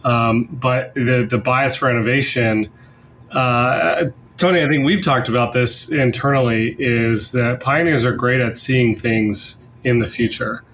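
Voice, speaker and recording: Male podcast host, medium pitch, conversational business talk, slight noise on the recording. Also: fairly bright tone. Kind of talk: casual business discussion.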